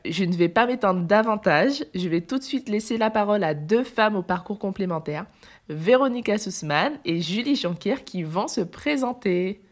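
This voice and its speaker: A woman hosting a podcast, upbeat and eager as she introduces guests and asks questions. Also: mid-range voice. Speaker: podcast host. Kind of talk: podcast interview.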